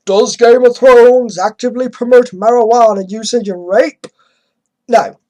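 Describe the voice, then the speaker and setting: A woman's voice: a little high, fairly even intonation, measured pace, mellow. A woman hosting a dramatic reading, commenting with sarcastic, exasperated emphasis. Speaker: host reading an article aloud with commentary.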